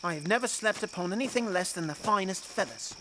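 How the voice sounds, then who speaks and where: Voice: mid-range voice, expressive, fast talker, background noise, close to an everyday voice. A performer playing a spoiled, indignant boy prince in an audio drama, haughty and full of protest. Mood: sullen, scornful.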